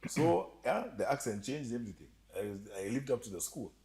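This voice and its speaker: A man with a slight Ugandan accent telling stories as a podcast guest, animated and joking, often laughing. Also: medium pitch, normal speed. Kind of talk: storytelling interview chat.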